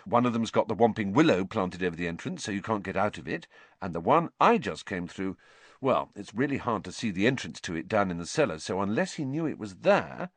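Harry, a man reading a calm teenage boy. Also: fairly low pitch, fairly quick, no room sound. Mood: explaining.

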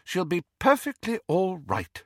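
Man playing a stern, high-voiced lady professor. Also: huge pitch swings, measured pace, no echo, studio quality, full character voice. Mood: sharp. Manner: precise, formal, icy, impatient. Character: stern, dignified female professor of witchcraft, coldly composed.

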